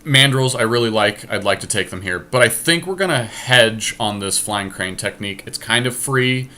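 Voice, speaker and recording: A man's voice: lowish voice, normal speed, bright, forceful tone. A man giving casual strategy commentary as a podcast host during a card game draft, deliberating aloud as he goes.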